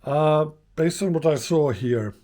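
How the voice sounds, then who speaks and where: A man's voice: a little high, lively intonation, unhurried, dark tone, a little hiss or hum. A man giving an informal, unscripted hands-on demonstration, thinking aloud in a hesitant way as he explains. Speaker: host of a vintage radio repair channel.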